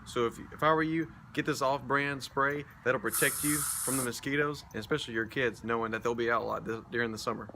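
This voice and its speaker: A man's voice: medium pitch, fairly even intonation, fairly quick, hardly any pauses, pushed and bright, slight background noise. Young man reporting local news. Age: young adult. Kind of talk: scripted news reporting to camera and voice-over.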